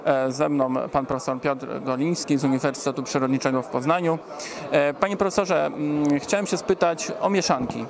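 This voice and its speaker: A man's voice: on the high side, steady tone, moderate pace. A man, interviewing politely and hesitantly, often repeating words. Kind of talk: event interview. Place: interview stand at a busy indoor forum. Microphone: handheld reporter microphone. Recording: busy trade event crowd noise.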